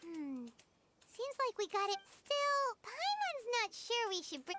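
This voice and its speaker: Squeaky childlike sprite, very high, wildly animated, plucky, excitable, anime game style, music behind. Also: female voice, slow, heavy background noise, heavily acted. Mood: curious, unsure. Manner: exclamatory, speaks of self in third person. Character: tiny childlike flying companion, excitable and plucky.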